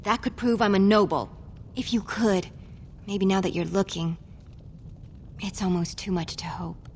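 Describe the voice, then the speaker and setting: Woman playing young dwarf scholar, timid, earnest, hopeful, fantasy game, mid pitch, faint noise. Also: steady tone, unhurried, lightly acted. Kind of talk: video game character acting.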